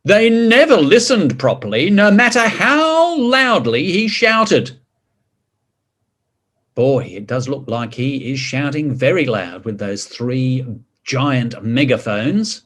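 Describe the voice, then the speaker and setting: A man reading a picture book to children, expressive, drawing out words and adding chatty asides and questions. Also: mid-range voice, takes it slowly. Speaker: story reader.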